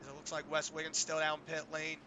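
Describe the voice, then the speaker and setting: Hoarse man calling a sim truck race. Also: a little high, brisk, a little hiss or hum. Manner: relaxed, rambling play-by-play with fillers. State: hoarse from a sinus infection. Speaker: race commentator. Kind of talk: play-by-play sim racing commentary.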